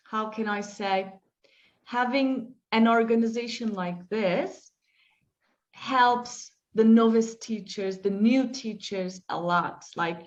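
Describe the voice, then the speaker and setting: Woman teacher, unhurried and reflective, muffled call audio. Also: medium pitch. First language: Turkish. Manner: slow, reflective, with frequent fillers and restarts. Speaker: English teacher being interviewed. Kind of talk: interview answers, storytelling.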